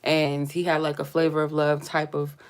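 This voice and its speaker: Woman with a deep croaky voice, stuffy from a cold, rambling through a tarot reading. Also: monotone, normal speed. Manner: meandering, chatty, lightly joking. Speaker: tarot reader. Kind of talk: casual spiritual reading to camera.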